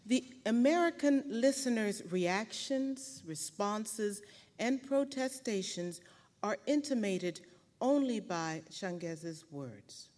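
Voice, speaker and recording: A woman presenting a book award, reading a formal prepared speech in a measured, literary manner. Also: medium pitch, expressive, darkish tone. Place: large hall at an award ceremony. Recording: echoey hall reverb.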